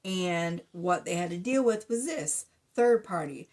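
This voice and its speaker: A woman giving a casual tarot reading to her viewers, blunt and profane in her chatty way, talking through a sore, slightly hoarse throat. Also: lowish voice, expressive, unhurried, darkish tone, a little hiss or hum. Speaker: tarot reader.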